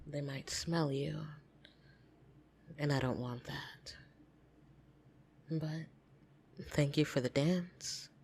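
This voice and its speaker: A woman voicing a lonely, flirtatious vampire in an ASMR roleplay, soft and breathy, teasing and intimate with hesitant pauses, tender yet easily irritated. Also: low voice, a little hiss or hum. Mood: wistful, affectionate. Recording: soft piano music behind.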